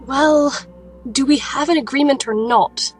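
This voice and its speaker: A woman playing a resolute, thoughtful alchemist warrior who narrates an audio drama in a measured, serious way. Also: sits high, soft background music. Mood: wary. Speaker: lead character and narrator. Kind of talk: audio drama dialogue and reflective first-person narration.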